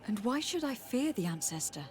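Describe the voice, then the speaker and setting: A woman playing a courteous, inquisitive noblewoman in a fantasy video game, formal and thoughtful, with a husky voice. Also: fairly high pitch, sing-song, normal speed, a little mellow, slight background noise. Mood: thoughtful, composed. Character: courteous, inquisitive young noblewoman envoy and adventurer. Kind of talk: video game dialogue acting.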